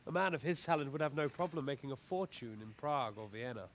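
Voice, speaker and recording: A man's voice: medium pitch, lively intonation, quick pace, slight background noise, natural voice. An actor playing a polite, worldly travelling nobleman with a formal, courtly manner in a game cutscene. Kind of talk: dramatic game cutscene acting.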